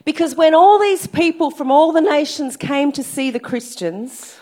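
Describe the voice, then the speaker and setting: An Australian woman preaching a sermon in short phrases and pausing for an interpreter. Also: sits high, animated, a little mellow.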